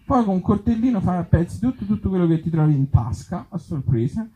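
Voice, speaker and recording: A man from Italy reading absurd short comic stories aloud to a live gathering, with deadpan humour and offhand, hesitant asides. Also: sits high, fairly quick, breathy edge, rough recording. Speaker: author and actor reading his comic tales at a live event.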